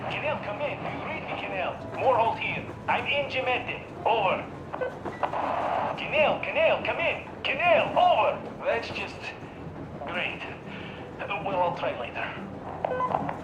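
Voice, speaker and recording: High-pitched, brash male radio operator. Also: big pitch swings, slow, long pauses, dull audio, exaggerated voice. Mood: frustrated, calling out. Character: cocky, irritable male radio operator of a survivor crew. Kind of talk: dramatic game dialogue. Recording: echoey, noisy radio transmission.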